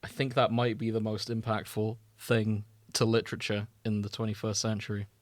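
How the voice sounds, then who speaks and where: A man's voice: fairly low pitch, monotone, a little fast, dry recording. A man co-hosting a podcast in casual, comedic chat, with a wry, dry sense of humour. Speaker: podcast co-host. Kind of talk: casual comedic chat about fan fiction.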